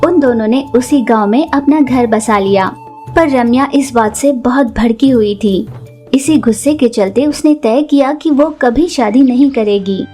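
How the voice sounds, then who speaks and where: A woman's voice: a little high, a little slow, mellow, faint music behind. A woman narrating a scripted moral story for children as a storyteller.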